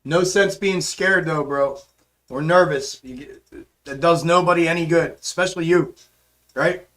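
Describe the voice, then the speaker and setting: A hoarse man hosting a stock market livestream, casually walking through charts and answering chat, calling viewers bro and man. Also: fairly high pitch, normal speed, a bit bright. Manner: laid-back, calls viewers bro and man. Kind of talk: casual live chart commentary and chat replies.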